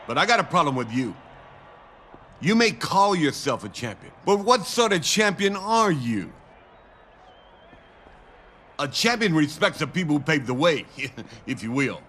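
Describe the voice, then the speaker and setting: Forceful, scolding wrestler. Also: male voice, on the high side, very animated, unhurried, pauses often, slight background noise. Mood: indignant. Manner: stern, scolding. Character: indignant, moralizing male wrestler scolding a champion. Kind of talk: wrestling promo acting in a video game.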